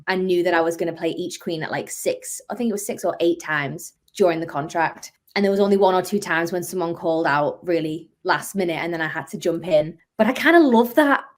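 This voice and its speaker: A woman's voice: fairly quick, hardly any pauses. Chatty young Northern English woman. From North of England. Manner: chatty, animated storytelling, acts out quotes in a higher voice. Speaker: guest, musical theatre performer. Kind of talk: casual interview chat.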